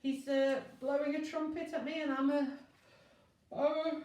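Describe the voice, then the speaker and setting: A woman's voice: high voice, flat delivery, measured pace, a little mellow, voice pushed up. A drowsy male train driver, played by a woman storyteller, hesitant and muddled, words trailing off. It is a storybook voice heard slightly off mic in an echoey room. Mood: drowsy, confused. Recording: roomy echo, distant microphone.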